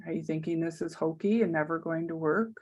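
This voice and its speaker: A woman leading a guided meditation, speaking softly and soothingly with long pauses. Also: low-pitched, dull audio.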